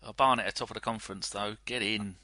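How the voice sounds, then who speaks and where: A man's voice: on the low side, fairly even intonation, quick pace, bright, forceful tone, no room sound. A man on a podcast panel, joking and teasing his way through casual football banter.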